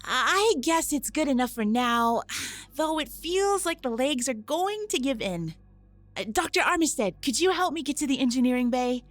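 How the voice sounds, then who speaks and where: A woman's voice: sits high, animated, moderate pace, no echo. A woman playing an irritable, proud robot girl engineer, snippy and sarcastic, in a sci-fi audio drama. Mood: grumpy.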